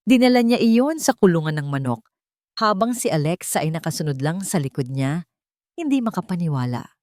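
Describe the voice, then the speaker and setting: Expressive, lower-voiced woman storyteller. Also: fairly quick, dry recording, clean studio sound. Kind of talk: scripted dramatic romance narration.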